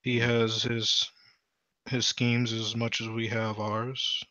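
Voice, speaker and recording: A man's voice: medium pitch, flat delivery, slow, bright, forceful tone, dry recording, lo-fi sound. A man voicing a shuffling undead bone merchant with a thick Turkish accent who fumbles through his words. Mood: wary.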